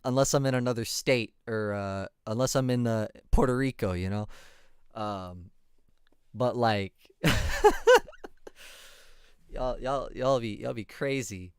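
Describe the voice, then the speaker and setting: A man's voice: medium pitch, clean tone, dry recording. Young man, twenties, laid-back reaction talk, mid-range voice, resonant, dead-dry recording. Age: 20s. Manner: laid-back and chatty, often laughing. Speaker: music reaction streamer. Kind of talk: casual reaction commentary.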